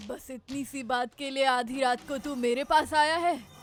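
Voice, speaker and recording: Woman playing old witch, high voice, menacing, horror cartoon, music behind. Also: fairly even intonation, a little slow. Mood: mocking, threatening. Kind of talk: animated horror story acting.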